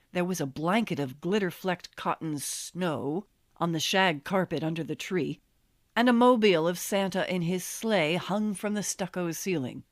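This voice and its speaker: An American woman reading her memoir as an audiobook, telling it in a wry, expressive storytelling manner. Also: lowish voice, dry recording, studio quality. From Los Angeles, USA. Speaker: author reading own memoir.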